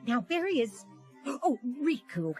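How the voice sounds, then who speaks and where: A woman playing a kindly, chatty old fairy godmother in a fantasy game, gently musing in a grandmotherly way. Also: sits high, big pitch swings, measured pace. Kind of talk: animated fantasy game acting. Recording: music behind the voice.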